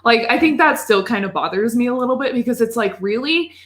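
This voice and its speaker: A woman chatting casually on a gaming podcast, sharing opinions in halting, filler-heavy bursts. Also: on the high side, expressive, fairly bright tone, slight background noise. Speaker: podcast panelist. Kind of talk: casual group chat about video games.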